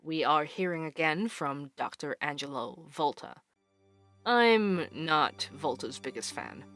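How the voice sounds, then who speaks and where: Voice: mid-range voice, lively intonation, slow, a bit bright, dry recording. An actor playing a wry, skeptical investigative radio host in an audio drama, conversational and sardonic. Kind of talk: audio drama radio show monologue and interview. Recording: music under the voice. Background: music.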